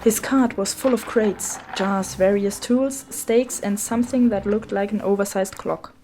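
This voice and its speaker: A woman's voice: medium pitch, fairly even intonation, measured pace, background noise. A woman reading a children's story as its narrator, in a scripted storybook style. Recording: music behind the voice.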